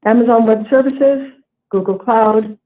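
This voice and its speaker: Woman reading formally over a tinny phone line. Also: fairly high pitch. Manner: formal, measured reading of prepared remarks. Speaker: company executive presenting quarterly earnings. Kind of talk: scripted corporate earnings call presentation. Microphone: conference call line. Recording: tinny, narrow phone-line conference call.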